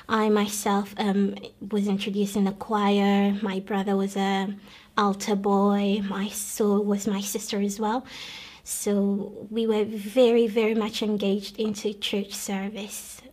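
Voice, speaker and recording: Flat-toned, earnest woman from Congo. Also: medium pitch. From Democratic Republic of Congo. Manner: earnest, measured, with frequent pauses and restarts. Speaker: guest telling her conversion story. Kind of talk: personal testimony, recalled storytelling.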